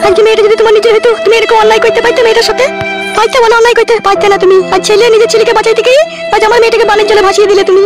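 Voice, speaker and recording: Fierce village mother, shrill-high cartoon pitch, breakneck pace, bossy haranguing, loud music behind. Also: flat delivery, rapid-fire. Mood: bitter, accusing. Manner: haranguing, foul-mouthed, forceful. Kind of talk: cartoon comedy dialogue.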